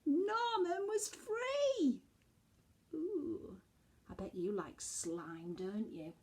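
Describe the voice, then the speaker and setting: A woman reading a storybook to young children as a teacher would, expressively, with hushed, chatty asides to her listeners. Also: high-pitched, sing-song, very slow.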